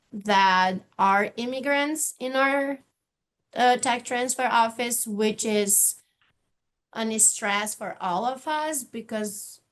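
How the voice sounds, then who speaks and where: A woman from Brazil speaks with a Brazilian Portuguese accent over a video call. She talks in an earnest, conversational way, full of fillers and self-corrections. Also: medium pitch. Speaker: office manager in a tech transfer office. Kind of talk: virtual panel discussion.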